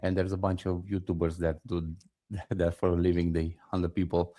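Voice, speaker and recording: A man's voice: low voice, flat delivery, moderate pace, dark tone. A man from Romania with a Romanian accent, co-hosting a casual podcast and adding skeptical, wry asides. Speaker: podcast co-host.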